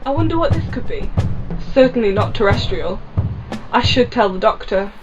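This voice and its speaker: A woman's voice: fairly high pitch, lively intonation, moderate pace, over music, lightly acted. A woman voicing a young, sarcastic companion who grumbles often. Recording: dull lo-fi with faint hiss.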